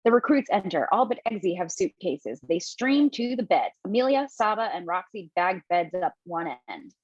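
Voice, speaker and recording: A woman's voice: fairly low pitch, expressive, normal speed, darkish tone, slightly rough, no room sound. A woman narrating stage directions for an online table read, reading plainly and dropping the odd casual aside. Her mic sounds dull and dry.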